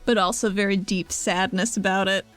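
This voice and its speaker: A woman's voice: medium pitch, fairly even intonation, normal speed, a bit bright. A woman who is a player in a tabletop game, casually narrating what her character does. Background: music underneath.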